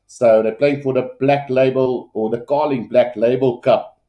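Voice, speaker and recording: A man's voice: medium pitch, steady tone, normal speed, reverberant, lo-fi sound. A man with a South African Afrikaans accent, a native Afrikaans speaker, giving casual, unscripted live rugby commentary and chatting with viewers in a hesitant, conversational way. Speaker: livestream sports commentator and host.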